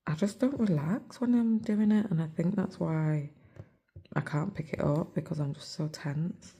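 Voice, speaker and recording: An English woman with a hoarse, rough voice, talking softly and almost in a whisper into her phone while she stitches. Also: fairly low pitch, normal speed. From England. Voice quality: soft, hushed, near-whispered voice. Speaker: cross-stitch vlogger. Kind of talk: casual chat while stitching.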